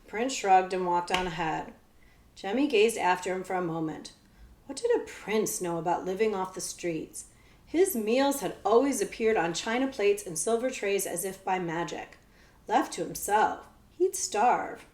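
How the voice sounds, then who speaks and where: A woman librarian reading a children's story aloud, pausing for casual explanations. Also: medium pitch, lively intonation, darkish tone. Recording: echoey room sound.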